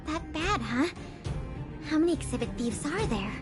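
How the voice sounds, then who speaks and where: A woman's voice: high-pitched, expressive, normal speed, loud music behind the voice. A woman playing an earnest, polite young investigator in a video game, composed and businesslike. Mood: attentive, determined.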